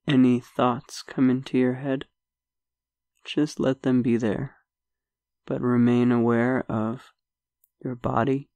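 A man guides a meditation at a very unhurried pace, his voice low in volume and touched with a gravelly fry. Long pauses sit between his gentle phrases. The recording is dry and close. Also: dry recording. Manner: slow, soft-spoken, with long pauses.

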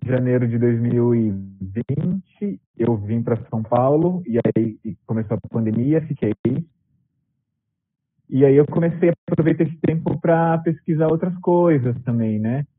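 A man's voice: mid-range voice, measured pace, no echo. A young man, a dancer, chatting casually on a video call from a room at home, rambling with lots of fillers. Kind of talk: video call panel talk. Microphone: laptop mic on video call. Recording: tinny compressed call audio.

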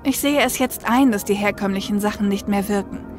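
Woman acting dry sarcastic female mercenary, protective, mid pitch, audio drama, music underneath. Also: a little fast, lightly acted. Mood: exasperated concern. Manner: wry, blunt. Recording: music bed behind the voice.

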